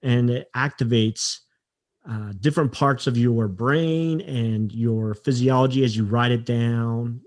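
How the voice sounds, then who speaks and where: Halting mid-pitched male podcast host. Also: darkish tone. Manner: halting, repeats words as he thinks. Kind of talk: casual interview chat.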